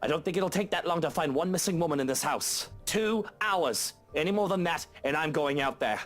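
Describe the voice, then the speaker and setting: A man's voice: a little high, a little fast, a bit bright, voice pushed up, natural voice. A man voicing a stubborn, paranoid, defiant young master of a mansion in a game story. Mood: demanding. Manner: defiant, demanding. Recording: music under the voice.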